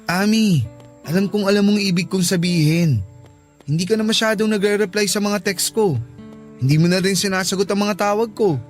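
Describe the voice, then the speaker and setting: A man's voice: sits high, moderate pace, faint music behind, close to an everyday voice. An actor playing an earnest, lovesick young college man in a Filipino radio drama, pleading and persistent, with a Filipino accent. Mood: hurt, pleading.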